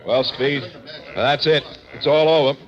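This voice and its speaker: An actor as brisk American police lieutenant, muffled radio. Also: male voice, medium pitch, close to an everyday voice. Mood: businesslike. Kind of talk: 1940s radio drama acting. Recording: muffled vintage 1940s radio recording.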